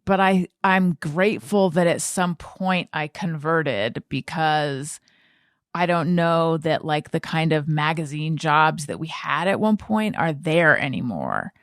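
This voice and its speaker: A woman hosts a casual podcast conversation. Her voice is on the low side and she talks slowly, leaving space between phrases. The sound is dry and close. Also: expressive, dry recording. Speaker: podcast host.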